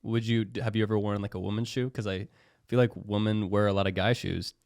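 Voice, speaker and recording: A young man hosting a casual podcast with a lowish voice, recorded close and dry with no room sound. He talks loosely and chattily. He laughs often and acts out what other people said. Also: steady tone, fast talker, creaky, darkish tone, dry recording. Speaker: podcast host. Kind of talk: casual chat. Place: studio.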